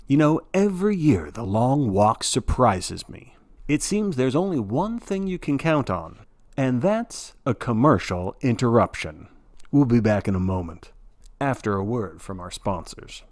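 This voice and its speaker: Casting: male sports commentator, acted by a man, folksy and blithe, lively swooping delivery, for a dystopian audio drama. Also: mid-range voice, a little slow. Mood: excited, solemn. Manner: folksy, showy, blithely cheerful about grim events. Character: jovial male sports broadcaster of a dystopian death march.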